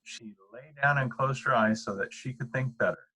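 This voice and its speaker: An older man with a husky voice reading a children's bedtime story aloud. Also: mid-range voice, moderate pace, pushed and bright, a little hiss or hum, poor audio. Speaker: storybook reader.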